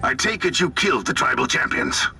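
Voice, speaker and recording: An actor playing a zealous, battle-hungry military commander in a video game, bombastic and brusque. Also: male voice, medium pitch, huge pitch swings, a little fast, pushed and bright, slight background noise, exaggerated voice. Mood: grimly jubilant. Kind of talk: dramatic video game voice acting.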